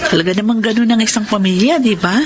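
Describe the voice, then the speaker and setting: An actress playing a caring, selfless eldest sister and young bride in a Filipino radio drama. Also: female voice, medium pitch, fast, a crowd in the background, natural voice. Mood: reassuring.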